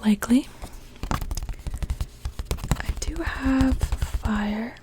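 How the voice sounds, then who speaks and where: A young woman playing a kindly mermaid witch in a whispered ASMR roleplay, gently attending to a customer as she brews potions. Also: fairly high pitch, drawn-out words, a little hiss or hum. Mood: attentive. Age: young adult.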